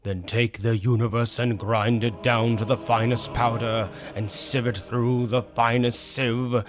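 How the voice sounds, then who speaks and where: A man voicing a calm, seductive demon tempter, a philosophical villain who speaks in deliberate, persuasive, theatrical phrases. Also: medium pitch, natural voice. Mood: forceful, challenging. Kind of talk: dark fantasy audio roleplay monologue, ASMR. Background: music.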